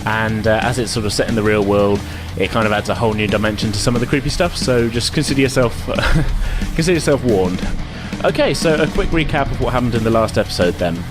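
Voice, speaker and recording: A man in the host's chair, running the game and recapping in an upbeat, filler-heavy way. His pitch sits in an ordinary middle range. A clear music bed plays under him. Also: fast, hardly any pauses. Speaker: host and game master. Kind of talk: podcast intro and recap.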